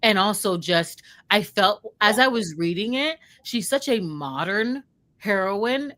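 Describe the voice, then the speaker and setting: A woman's voice: mid-range voice, lively intonation, a little slow, bright, forceful tone. A woman co-hosting a book readalong, talking in a gushing, exclamatory way in casual, enthusiastic chat.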